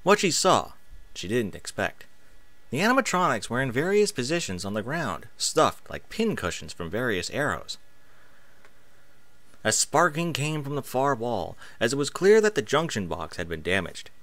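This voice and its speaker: A man's voice: medium pitch, big pitch swings, moderate pace, fairly bright tone, dry recording. A man narrating an action story as a scripted, dramatic reading with emphatic phrasing. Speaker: narrator reading fan fiction aloud.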